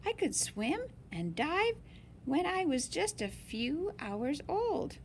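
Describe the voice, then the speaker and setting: A woman reading a picture book aloud in an expressive, deliberate way for young listeners. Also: high-pitched, slight background noise. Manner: slow, deliberate, animated phrasing for young listeners. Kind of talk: expressive children's read-aloud.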